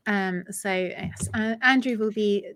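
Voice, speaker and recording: A woman's voice: mid-range voice, slow, fairly bright tone, slight background noise. A woman hosting a developer livestream, chatting in a playful, bubbly way. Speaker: host. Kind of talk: casual chat on a livestream.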